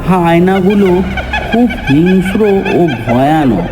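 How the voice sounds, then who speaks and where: A man's voice: high voice, lively intonation, slow, background noise. A man narrating a scripted cartoon story as its storyteller.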